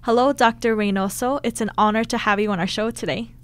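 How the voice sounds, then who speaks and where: A woman's voice: on the high side, steady tone, quick pace. A woman speaking as a radio show host, interviewing a guest in a studio.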